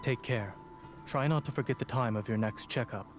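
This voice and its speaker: A man voicing a composed, coolly caring young doctor in a scripted game drama, measured and understated. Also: mid-range voice, over music, natural voice. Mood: calm concern.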